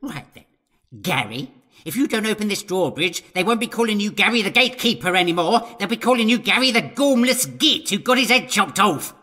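A man's voice: high voice, lively intonation, brisk, sharp tone, full character voice. A British man playing a cunning, pompous medieval lord in a comedy audio drama, sneering and exasperated. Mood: menacing.

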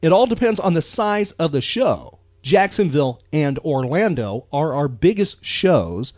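A man's voice: fairly high pitch, big pitch swings, a little slow, darkish tone, dry recording, clean studio sound. An American man hosting a podcast, chatting in a casual, folksy, rambling and emphatic way. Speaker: podcast host.

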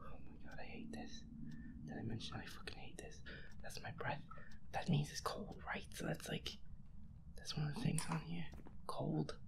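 Male gamer, whispered and creaky, anxious sweary muttering, out of breath, horror playthrough. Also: fairly high pitch, moderate pace, pauses often. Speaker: video game player.

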